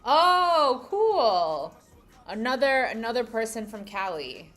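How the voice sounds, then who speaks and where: A woman's voice: on the high side, very animated, slow, fairly bright tone, soft background music. A young adult woman from New York with a New York accent, chatting casually with live-stream viewers and thinking aloud as she reads their comments. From New York City. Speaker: livestream host.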